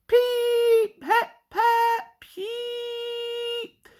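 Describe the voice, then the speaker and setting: A woman's voice: very high-pitched, completely flat delivery, drawn-out words, a little mellow, voice pushed up, full character voice. A woman voicing a boastful, grand male steam engine with a short temper in a children's storybook. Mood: angry, indignant. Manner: pompous, indignant.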